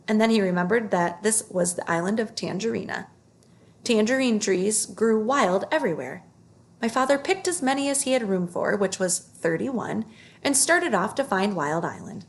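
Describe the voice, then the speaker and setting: A woman reading a children's story aloud, phrasing it carefully and expressively. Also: a little high.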